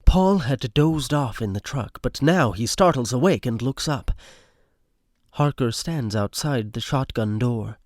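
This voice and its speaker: A man narrating a scripted audio drama in short, measured sentences. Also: mid-range voice, expressive, a little mellow, dry recording, studio quality. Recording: music behind narration.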